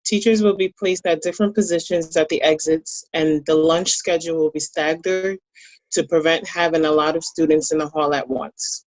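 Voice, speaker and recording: A woman from New Jersey, a recreational therapist, reading a prepared presentation slowly and deliberately. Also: sits low, fairly even intonation, no room sound, poor audio.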